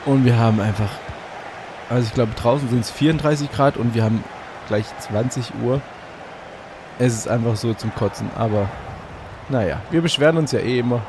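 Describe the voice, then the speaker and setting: A man with a slight cold hosting let's play commentary on a football video game from a hot room at home, getting excitable and shouting at goals. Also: mid-range voice, normal speed, pauses often. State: a bit congested with a cold. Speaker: gaming channel host. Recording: audible fan noise.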